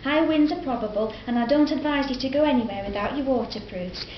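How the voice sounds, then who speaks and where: A woman's voice: high-pitched, fast, natural voice. An actress reading a grateful mother's phone message, a weather girl and a police dispatcher in a scripted audio drama. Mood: breezy. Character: young woman caller and weather girl. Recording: dull lo-fi roomy recording, faint hiss.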